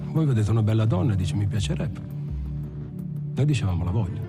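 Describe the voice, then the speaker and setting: A vain Italian gangster and playboy with a slightly low voice. He tells anecdotes of the high life in a self-satisfied monologue, with loud music behind him. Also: lively intonation, a little fast. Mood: smug, amused. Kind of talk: dramatic monologue with acted little dialogues.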